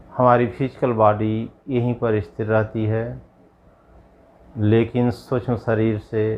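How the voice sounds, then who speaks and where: A man guiding a yoga nidra meditation as a yogi, giving measured instructions in short, spaced phrases. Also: mid-range voice, highs cut off. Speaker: yogi leading guided meditation.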